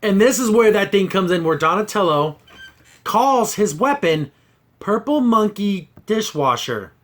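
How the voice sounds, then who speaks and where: High-voiced man, exasperated and scornful. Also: big pitch swings, unhurried, fairly bright tone. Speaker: podcast host. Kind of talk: casual review chat, recapping and mocking a cartoon.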